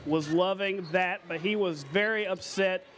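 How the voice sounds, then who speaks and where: A man's voice: sits high, measured pace, fairly bright tone, slight background noise. An American man anchoring a local TV sportscast, reading scripted news with playful, conversational asides.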